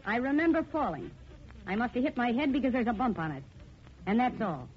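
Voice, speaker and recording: High-pitched, brash young woman. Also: sing-song, a little fast. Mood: guarded, curt. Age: young adult. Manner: tough, slangy, combative. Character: brash, defiant young woman claiming a lost inheritance. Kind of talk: 1940s radio drama acting. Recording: muffled, noisy 1940s radio recording.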